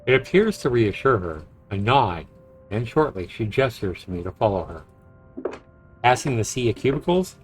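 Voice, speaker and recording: Visual novel lead: male actor as a low, wry, introspective man, guilt-ridden, with music underneath. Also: natural voice, rough recording. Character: brooding, guilt-ridden man with a dry charm. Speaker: narrating protagonist. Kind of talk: visual novel voice acting, introspective narration and dialogue.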